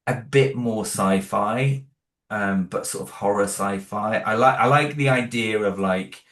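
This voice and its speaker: A man with a lowish voice talks through his plans in a loose, halting way, circling back and restarting his sentences. He is heard in a noticeably echoey room. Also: fairly bright tone. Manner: hesitant, many restarts and fillers. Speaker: guest, horror audio drama creator. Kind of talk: casual interview answers.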